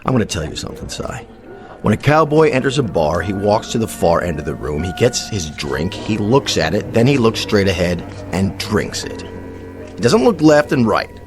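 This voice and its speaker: An actor playing a vain, whiny, pampered TV cowboy star, petulant and pompous, in a comedic radio drama. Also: male voice, fairly low pitch, big pitch swings, moderate pace, over music. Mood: pompous irritation. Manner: petulant, pompous, self-pitying.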